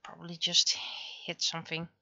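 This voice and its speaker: A woman's voice: fairly low pitch, completely flat delivery, moderate pace, vocal fry, pushed and bright. A woman chatting casually on a livestream as she works, thinking aloud to herself in short fragments. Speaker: livestreaming artist. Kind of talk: casual livestream chat while drawing.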